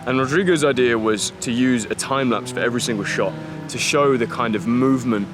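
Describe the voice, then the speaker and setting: A man's voice: medium pitch, a little fast, loud music behind the voice. A man vlogging casually to camera, enthusiastic and slangy with lots of fillers. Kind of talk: casual vlog talk to camera. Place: outdoors in a city park.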